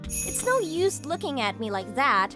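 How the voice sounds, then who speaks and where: A woman voicing a haughty young rich heiress, sharp-tongued and scornful, in an anime-style manga dub. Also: sits high, big pitch swings, measured pace, over music. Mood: suspicious, cold.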